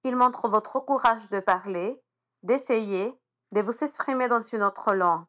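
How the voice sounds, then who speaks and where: A woman's voice: medium pitch, unhurried, sharp tone. A woman immersion teacher from Honduras, Spanish her first language, with an accent that carries traces of Spanish and English, speaking in an earnest and encouraging way. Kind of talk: prepared personal message.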